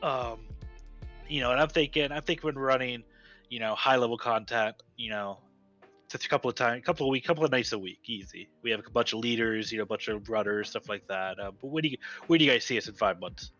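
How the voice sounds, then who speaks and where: A man putting questions in a casual gaming chat, halting and repeating his words, on lo-fi audio. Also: medium pitch, bright, forceful tone, faint music behind. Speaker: gaming show panelist asking questions.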